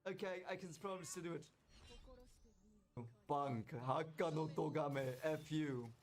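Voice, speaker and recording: Excitable higher-pitched male gamer. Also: measured pace. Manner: excitable, repeats words, mock-threatening trash talk. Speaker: gaming video creator. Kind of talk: casual reactive gameplay commentary.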